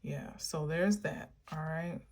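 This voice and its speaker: A woman's voice: low-pitched, slow pace, darkish tone. A woman vlogger giving an earnest, meandering spiritual monologue, musing aloud with many filler words and encouraging her listeners.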